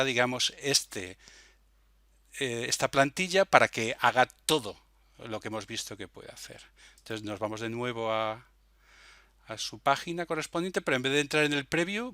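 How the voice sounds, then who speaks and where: A man presenting an unscripted software tutorial step by step, hesitant and often checking that listeners follow, close on the microphone. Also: medium pitch, dry recording. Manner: hesitant, frequent verbal tags seeking confirmation.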